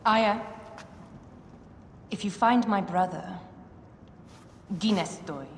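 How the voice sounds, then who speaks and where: A woman's voice: medium pitch, slow. An actress playing a proud, defiant queen of ancient Egypt in a dramatic video game cutscene. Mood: imperious. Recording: echoey hall reverb, faint background noise.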